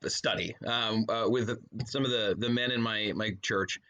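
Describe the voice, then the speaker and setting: A man's voice: on the low side, fairly even intonation, moderate pace, bright, forceful tone. A middle-aged man speaking as a podcast guest in a casual, colloquial, jokey way, like someone chatting with a buddy. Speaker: podcast guest, author and pastor. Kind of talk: casual conversational interview.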